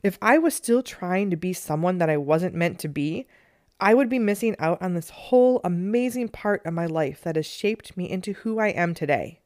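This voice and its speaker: A middle-aged woman with a low, soothing voice, hosting a meditation podcast in a gentle, earnest solo talk. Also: animated, moderate pace, no room sound, clean studio sound. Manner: gentle and earnest, with light laughs.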